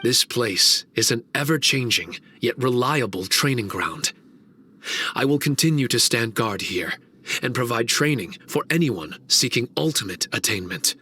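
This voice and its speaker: A man's voice: medium pitch, lively intonation, unhurried, bright, forceful tone, husky, full character voice. A man playing an earnest, dutiful guardian spirit in a video game, speaking formally and courteously. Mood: resolute. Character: earnest, dutiful male guardian spirit of a mystic training domain. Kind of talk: video game cutscene voice acting.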